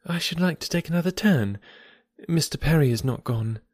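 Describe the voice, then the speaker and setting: A man voicing a young gentlewoman who speaks eagerly and earnestly in a period audiobook. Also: a little high, lively intonation, no room sound, studio quality, voice pushed up. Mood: gentle, earnest. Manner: quick eager pleading.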